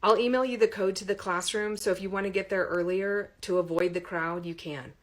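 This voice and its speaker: A woman voicing a firm, quick-tempered but kind college professor in an audiobook, speaking with articulate authority. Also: medium pitch, steady tone, lightly acted. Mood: softer, helpful.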